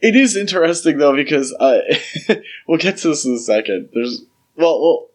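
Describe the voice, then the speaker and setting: A man's voice: fairly high pitch, animated, moderate pace. A man co-hosting a podcast, giving his opinions in an informal, conversational review chat. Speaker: podcast co-host.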